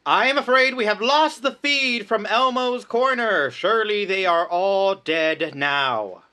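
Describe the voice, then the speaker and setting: A grave radio-play news announcer, acted by a man, delivering bulletins with melodramatic weight. The voice is forceful and bright, sitting a little high, and every phrase sounds portentous. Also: measured pace, clean tone. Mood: grave alarm.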